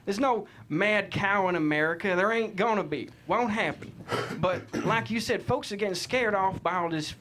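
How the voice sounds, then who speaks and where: An actor playing a proud, defiant Texas cattle rancher with blunt, folksy, cussing talk in a script table read. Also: male voice, sits high, normal speed, a bit bright, a little hiss or hum. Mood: defiant, resolute.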